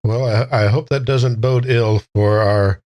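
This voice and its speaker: A man's voice: mid-range voice, fairly even intonation, measured pace, a little mellow, no room sound. A man casually playing a tabletop roleplaying game, thinking aloud in a tentative way.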